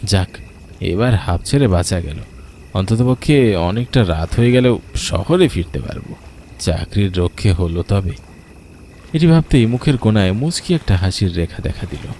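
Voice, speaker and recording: A man's voice: on the low side, lively intonation, a little slow, pauses often, dark tone, slight background noise. A man telling a scripted first-person horror story as a dramatic storyteller.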